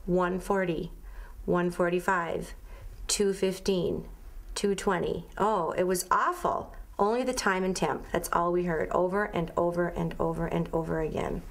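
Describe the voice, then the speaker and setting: A woman's voice: fairly low pitch, steady tone, measured pace. A woman speaking unscripted in an interview as a local witness, emphatic and repeating herself for weight. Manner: emphatic, repetitive.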